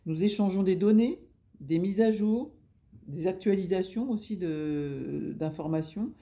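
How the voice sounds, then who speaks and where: Woman with a very dark, mellow voice. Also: fairly low pitch, measured pace. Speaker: public service agent, interviewee. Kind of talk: explanatory interview answers.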